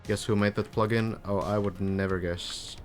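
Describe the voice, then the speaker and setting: A man's voice: on the low side, normal speed, soft background music. A man from Finland, a Finnish native speaker, chatting casually on a live stream as he comments on a game and answers viewers. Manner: relaxed, chatty, answers viewers with light laughs.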